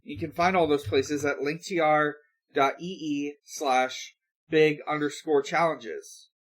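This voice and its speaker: A man hosting a podcast in casual chat, speaking in a halting way with stretched pauses. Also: a little high, fairly even intonation, poor audio. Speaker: podcast host.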